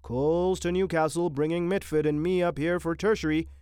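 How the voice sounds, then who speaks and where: A man's voice: on the high side, steady tone, fairly quick, a little mellow, clean tone, no echo, studio quality, pitched above the performer's own voice, close to an everyday voice. A man doing a grumbling pompous specialist.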